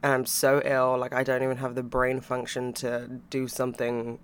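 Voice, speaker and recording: Young man, podcast host, casual chatter, croaky throat, occasional laughs, mid-pitched voice. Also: monotone, normal speed, a little hiss or hum. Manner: chatty, swears freely, laughs between thoughts. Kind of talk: casual chat about books and TV.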